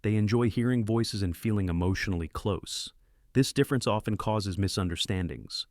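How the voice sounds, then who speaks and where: A man reading scripted explainer narration in a measured, deliberate way. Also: lowish voice, darkish tone, dry recording, studio quality.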